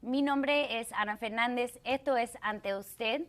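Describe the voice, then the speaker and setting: A woman hosts a talk show with a voice a little higher than most. She asks her questions with some hesitation and sometimes stops to hunt for the right word. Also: slow. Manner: hesitant, fumbles for words. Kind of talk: hosting an interview.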